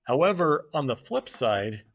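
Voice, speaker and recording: A man's voice: medium pitch, big pitch swings, no room sound. Thoughtful man, dull recording. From United States. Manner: thoughtful, halting, with many fillers and restarts. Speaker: podcast guest. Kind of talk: casual conversational interview. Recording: dull, muffled podcast audio.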